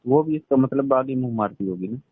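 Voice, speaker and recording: Man, casual questioner on a recorded phone call; narrow, tinny audio, medium pitch. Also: expressive, fairly quick, slightly rough, dry recording. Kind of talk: casual phone chat, asking probing questions. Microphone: telephone line.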